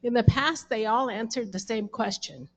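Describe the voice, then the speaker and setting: A woman's voice: fairly high pitch, moderate pace. A woman moderating a community candidates' meeting, laying out the guidelines in an organized, instructive way.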